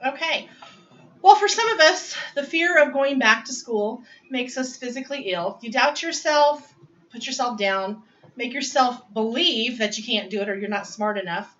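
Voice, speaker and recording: A woman's voice: fairly high pitch, animated, moderate pace, a bit bright, distant mic, dull audio. A woman in her forties telling her story of going back to school in a casual, chatty way with frequent laughs. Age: 40s. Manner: chatty, laughs often, restarts phrases. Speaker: student giving a speech. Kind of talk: personal narrative speech. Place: home dining area.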